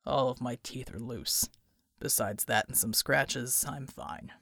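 Stoic male hero played by a woman, deep, subdued, slow and battered, audiobook action story. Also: vocal fry, a bit bright, no echo, clean studio sound, exaggerated voice. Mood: weary, stunned. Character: stoic, battered heroic man, heavy and slow.

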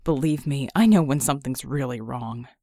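A woman doing a gruff, wounded man. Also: normal speed, warm tone, dry recording, clean studio sound. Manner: low, strained, sardonic. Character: gruff wounded male fighter, dry and terse.

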